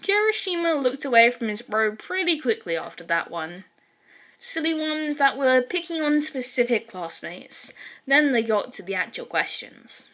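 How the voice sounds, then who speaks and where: A woman with a fairly high voice narrates a piece of fan fiction. She reads from a script, telling the story as its narrator. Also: big pitch swings, normal speed, a bit bright.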